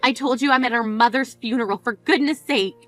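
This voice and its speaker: A high-voiced, blunt sister in mourning, played by a woman, scolding her sibling with cutting disbelief. Her tone stays sharp and exasperated, over faint background music. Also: brisk, lightly acted. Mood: incredulous. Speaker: sister at a funeral. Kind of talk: scripted dramatic dialogue.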